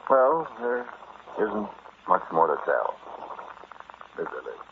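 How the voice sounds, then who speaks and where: Through a muffled, noisy vintage radio recording comes a callous, megalomaniac treasure thief. His voice is very low, and he speaks haltingly and confidingly, like the narrator of an old horror broadcast. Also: sing-song, exaggerated voice. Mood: cold, brooding. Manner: halting, intimate, addressing a listener directly. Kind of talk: old-time radio horror acting, first-person narration.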